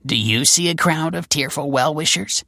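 A man's voice: on the high side, normal speed, vocal fry, sharp tone, dry recording, voice pushed up. A male narrator voicing a wry, world-weary female detective in an audiobook, with a dry, sardonic manner. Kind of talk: audiobook character voice.

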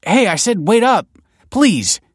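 A man's voice: high voice, sing-song, takes it slowly, slightly rough, dry recording, studio quality, pitched above the performer's own voice, heavily acted. Man acting a desperate, raised-voice young man. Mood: urgent. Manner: urgent, strained pleading. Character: desperate, hot-tempered young man, aching and pleading. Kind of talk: audiobook character voice.

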